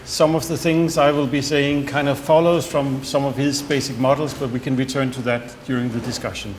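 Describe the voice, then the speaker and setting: A man's voice: mid-range voice, fairly even intonation. Man lecturing in an echoey hall. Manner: measured, thoughtful, with frequent fillers and self-corrections. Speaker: conference speaker, researcher. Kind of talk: academic conference talk. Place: conference hall with audience. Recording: echoey hall, faint background noise.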